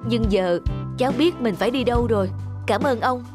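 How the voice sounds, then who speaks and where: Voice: medium pitch, brisk, lightly acted. Actor doing earnest young husband in a cartoon, polite and troubled, music behind. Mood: grateful. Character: troubled young married man, polite and downcast. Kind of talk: cartoon dialogue.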